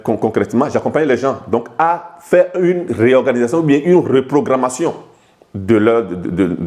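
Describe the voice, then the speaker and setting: A man from Togo gives an informal lesson as a life coach, speaking straight to the viewer at a middle pitch. His delivery halts often, with repeated words and restarted phrases as he works through each idea. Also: a little mellow. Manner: addresses the viewer directly and familiarly. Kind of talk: informal video lesson to camera.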